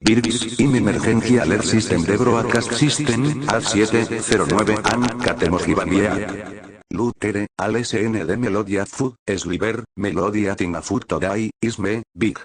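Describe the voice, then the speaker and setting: A man's voice: on the low side, normal speed, breathy edge. A man voicing a formal cartoon news anchor reading a mock bulletin.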